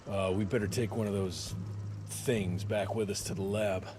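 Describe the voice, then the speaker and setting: An actor with an American accent playing a gruff, impatient young vampire hunter in a game, blunt and sardonic. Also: male voice, lowish voice, steady tone, moderate pace, noisy background, natural voice. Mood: gruff, businesslike. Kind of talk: video game cutscene acting. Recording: loud music behind the voice. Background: loud music.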